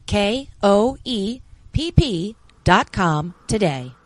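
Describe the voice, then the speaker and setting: A woman narrating a scripted book advert in a slow, measured way. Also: mid-range voice, animated, no room sound.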